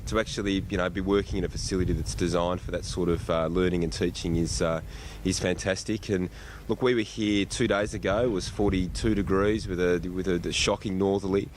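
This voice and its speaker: A man's voice: low voice, steady tone, a little fast. An Australian man speaking as a school principal in an informal interview, in a hesitant, rambling way with many fillers. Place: outdoors at a school site. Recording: outdoor location, loud traffic-like ambient noise.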